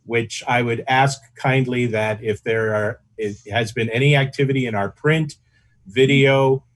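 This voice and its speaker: A man's voice: mid-range voice, fairly even intonation, measured pace. Man chairing an online meeting. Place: video call.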